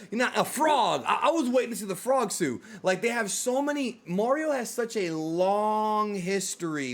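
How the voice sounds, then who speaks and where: Male host, quite high voice, casual fan chat, animated, slangy. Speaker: host of a video game movie discussion show.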